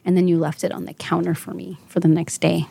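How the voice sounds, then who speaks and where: A woman's voice: lowish voice, flat delivery, fast talker, vocal fry, mellow, husky. A woman in her thirties hosting a casual chat with her daughter, affectionate and motherly, laughing often. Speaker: podcast host and mother.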